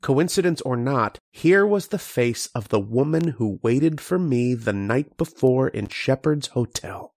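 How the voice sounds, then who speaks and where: A man's voice: mid-range voice, expressive, a little slow, hardly any pauses, a little mellow. A man playing a learned, patient archaeologist who explains and narrates in an old-time radio horror drama style. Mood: uneasy, brooding. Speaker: lead actor and narrator.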